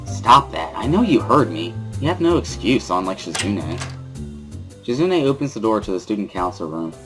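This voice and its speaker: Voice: sits low, big pitch swings, normal speed, over music. A reader playing a wary teenage schoolboy who narrates his own story in a dry, mildly sardonic way, as a visual novel dramatic reading. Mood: dry, observant.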